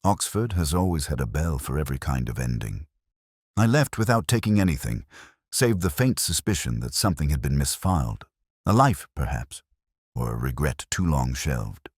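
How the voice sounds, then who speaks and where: Deep male narrator, dry close sound, measured and reflective, lively intonation, scripted mystery read. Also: breathy edge, dry recording.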